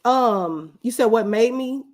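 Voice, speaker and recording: A woman's voice: a little high, expressive, takes it slowly, darkish tone. Woman guest chatting in a casual interview.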